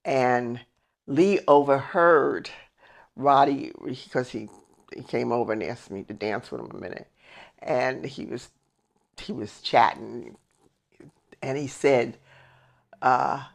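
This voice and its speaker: An American woman in her eighties telling family stories in a casual, animated way, emphatically acting out remembered dialogue. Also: very deep voice, slow pace, gravelly, long pauses. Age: 80s. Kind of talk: casual personal storytelling.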